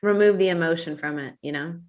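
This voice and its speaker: A woman's voice: low-pitched, fast talker, darkish tone, lo-fi sound, a little hiss or hum. A woman reflecting earnestly on how she cooks, in a rambling, casual way. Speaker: guest, cook on a competition show. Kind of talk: casual group chat.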